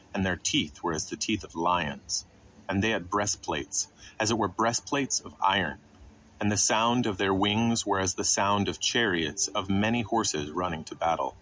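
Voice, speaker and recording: Low voiced guy explaining bible passages like a teacher, asks stuff then answers it, some background noise. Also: a bit bright, low-quality recording. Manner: didactic, poses questions then answers them. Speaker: Bible teacher narrating a podcast. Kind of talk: scripted scripture exposition.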